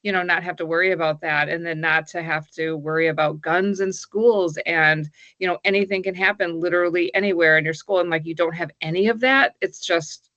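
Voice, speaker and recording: A woman's voice: on the low side, fairly even intonation, fairly quick, hardly any pauses, fairly bright tone, no room sound, highs cut off. A middle-aged American woman talking casually as a webinar guest, chatty and enthusiastic, full of "like" and "you know". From United States. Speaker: guest, migration influencer. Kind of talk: casual chat.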